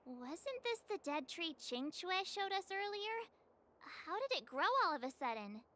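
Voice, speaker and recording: An actress playing a tiny, excitable childlike girl companion in a video game, perky and full of questions. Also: extremely high pitch, normal speed, full character voice. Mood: curious, puzzled.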